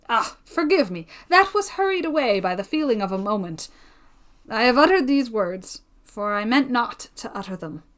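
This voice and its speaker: A woman voicing a courteous young gentleman, speaking with formal, solemn entreaty in an audiobook reading. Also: on the high side.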